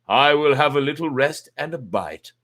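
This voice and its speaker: A man's voice: on the high side, animated, moderate pace, a bit bright, voice pushed up. A man doing a weary old peasant woman. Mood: tired. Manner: pleading, plainspoken.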